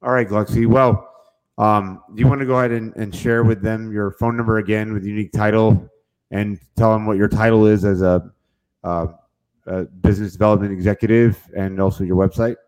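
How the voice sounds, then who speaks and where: A man hosts a podcast on real estate, upbeat and rambling, with many "uh"s. His voice sits fairly low. He makes promos and asks questions in a loose, friendly flow. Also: level tone, fairly quick, a little mellow, clean tone, rough recording. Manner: upbeat and rambling, heavy on fillers. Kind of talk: podcast interview hosting.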